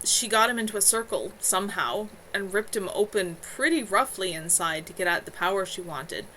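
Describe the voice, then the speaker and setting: A woman's voice: mid-range voice, animated, moderate pace, pushed and bright. A woman voicing a frank, caring woman healer in a storybook reading. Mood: grave. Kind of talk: amateur storybook dialogue reading. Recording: noisy home livestream audio.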